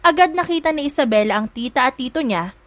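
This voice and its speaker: A woman's voice: high-pitched, expressive, fast, fairly bright tone, dry recording. A woman narrator reading a scripted romance story for an audiobook.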